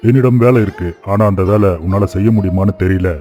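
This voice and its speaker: Man as cattle-owning village boss in cartoon fable: lowish voice, measured, benevolent, music underneath. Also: dark tone, lightly acted. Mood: appraising, businesslike. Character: kindly, practical older village farmer and cattle-owning employer. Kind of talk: animated moral story dialogue.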